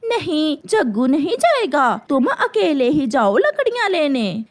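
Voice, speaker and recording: A woman's voice: sits high, sing-song, measured pace, pitched above the performer's own voice. A woman voicing a doting, overprotective mother crow who argues indulgently in a children's cartoon. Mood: protesting. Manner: protesting, indulgent toward her son.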